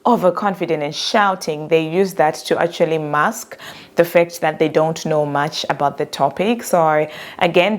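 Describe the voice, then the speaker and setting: Woman career coach, kinda low voice, flat monotone, direct tips straight to camera. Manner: self-described monotone, earnest and direct. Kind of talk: motivational talk to camera.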